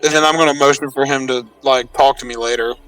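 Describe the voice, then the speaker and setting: A man's voice: mid-range voice, fairly even intonation, fast, far from the mic, music in the background, poor audio. A man playing a tabletop roleplaying game, talking casually and somewhat hesitantly as a player.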